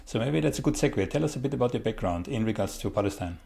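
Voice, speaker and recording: A man interviewing a guest, asking questions carefully and correcting himself with halting restarts. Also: medium pitch, expressive, creaky, warm tone, slight background noise.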